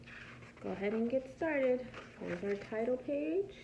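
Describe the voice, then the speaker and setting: A woman preschool teacher reading a picture book aloud to young children in a patient, teacherly way, pausing to ask simple questions. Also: on the high side, expressive, slight background noise, poor audio.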